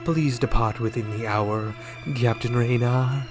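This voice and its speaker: An actor playing a suave, menacing alien ambassador in a sci-fi radio drama, speaking in a measured, formal, theatrical way. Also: male voice, mid-range voice, steady tone, over music, natural voice. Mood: coolly threatening.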